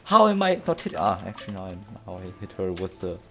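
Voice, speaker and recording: A man's voice: low voice, very animated, fast, bright, forceful tone. A man giving casual, rambling gameplay commentary with a sardonic manner. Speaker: let's player. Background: game music.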